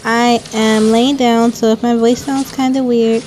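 A young woman giving a casual voice-over on her gameplay, talking quietly and softly into a close microphone with lots of "like" fillers. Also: fairly high pitch, a little slow, rough recording. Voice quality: quiet, soft-spoken. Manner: chatty, frequent "like" fillers. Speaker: gameplay commentator. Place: lying down at home. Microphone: microphone held close to mouth. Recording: steady background noise.

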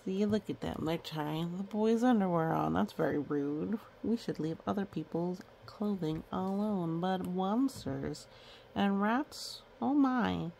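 A woman's voice: lowish voice, slow pace, vocal fry, warm tone, slight background noise, poor audio. A woman reading a children's picture book aloud in a playful way, breaking off for chatty asides and sing-song counting.

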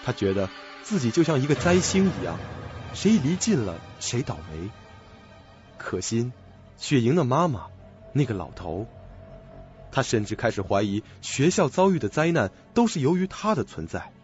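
A man's voice: medium pitch, big pitch swings, moderate pace, a little mellow. A man narrating a scripted audio drama on a lo-fi recording. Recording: dull lo-fi recording, faint music behind.

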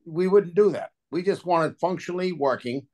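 A man's voice: high-pitched, brisk, a little mellow. A man speaking as an agency official at a public meeting over a video call, explaining technical matters in plain, colloquial terms. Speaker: water and sewer agency official at a hearing.

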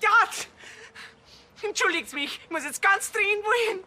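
Indignant, high-pitched Bavarian woman. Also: lively intonation, normal speed, bright, forceful tone, exaggerated voice. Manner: indignant, complaining. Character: indignant, talkative woman freed from a hostage-taking. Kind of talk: television crime comedy acting. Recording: music behind the voice.

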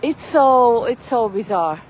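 A woman's voice: a little high, expressive, slow, poor audio. A woman chatting casually to her viewers while walking outdoors, rambling and greeting people by name. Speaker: vlogger. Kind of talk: casual live-stream walking chat. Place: outdoors on a city street. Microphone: phone camera held while walking. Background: traffic and street ambience.

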